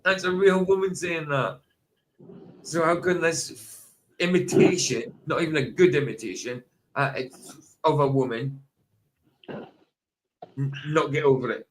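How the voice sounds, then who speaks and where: A man's voice: fairly high pitch, unhurried, long pauses, a little hiss or hum, rough recording. A man hosting a casual podcast, reacting with frequent laughter. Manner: laughs often, animated reactions. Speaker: podcast host.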